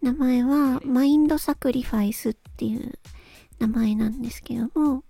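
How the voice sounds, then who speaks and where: A woman hosting a solo talk, speaking casually and reflectively to listeners in a polite, gentle, hesitant way as she thinks aloud. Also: high voice, fairly even intonation, normal speed. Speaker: solo host, amateur voice actress. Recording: close dry recording with background music. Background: background music.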